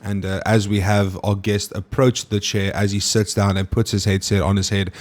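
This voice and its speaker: A man's voice: fairly low pitch, flat delivery, moderate pace. A man, hosting a casual stream chat and talking to his viewers, loose and full of swearing. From South Africa. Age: 20s. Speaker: streamer host.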